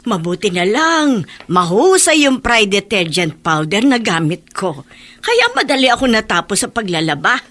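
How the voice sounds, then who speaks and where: Lively, fussy older Filipina housemaid. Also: female voice, mid-range voice, normal speed, faint music behind. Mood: chatty, cheerful. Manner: folksy, fretful, exclaiming. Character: fussy, deferential older female household helper. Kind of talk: Filipino radio drama acting.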